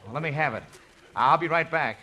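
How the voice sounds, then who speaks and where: An American actor playing an easygoing, gently teasing young romantic lead in a 1950s radio musical comedy. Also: male voice, on the high side, fairly quick. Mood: friendly, curious. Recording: vintage 1950 radio broadcast recording.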